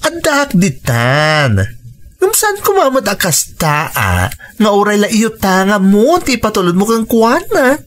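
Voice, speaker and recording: A woman's voice: mid-range voice, wildly swooping pitch, measured pace. A woman acting a concerned, frank friend who works abroad in a radio drama, with an Ilocano accent and an emphatic, admonishing delivery. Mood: scolding. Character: concerned, frank female friend working abroad, scolding and pleading.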